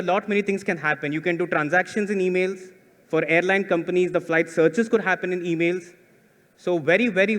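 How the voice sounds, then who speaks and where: A man's voice: sits high, a bit bright. A man giving a conference presentation, often restarting words and checking in with "right?". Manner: repetitive restarts, frequent "right?" tag questions. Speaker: conference speaker. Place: conference hall. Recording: long hall reverb.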